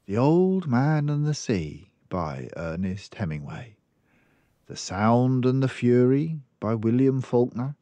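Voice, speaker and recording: An older man narrating a children's book expressively, with measured and deliberate phrasing. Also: mid-range voice, very animated, warm tone, no echo. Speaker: storyteller.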